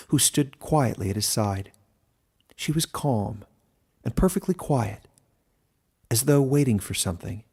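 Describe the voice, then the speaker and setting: A man reading scripted fantasy narration as a storyteller, deliberate and expressive. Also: lowish voice, creaky, breathy edge.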